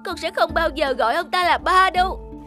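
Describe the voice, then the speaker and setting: An actress playing a headstrong, bitterly resentful young adult daughter in a cartoon drama, speaking emphatically and reproachfully. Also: female voice, very high voice, normal speed. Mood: angry, hurt. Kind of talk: animated drama dubbing. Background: music.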